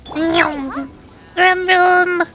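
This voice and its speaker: A woman's voice: very high voice, steady tone, very slow, sharp tone, faint music behind. A woman VTuber with a playful, cutesy, chatty manner, commenting on a game and reading its dialogue aloud.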